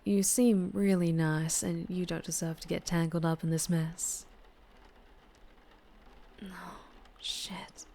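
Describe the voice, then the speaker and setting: A woman playing a flirtatious, protective vampire biker in an ASMR roleplay, with a Southern US accent and a soft, intimate delivery that slips into whispers. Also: lowish voice, level tone, takes it slowly, pauses often. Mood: caring, serious. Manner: soft, intimate, often dropping into breathy whispers.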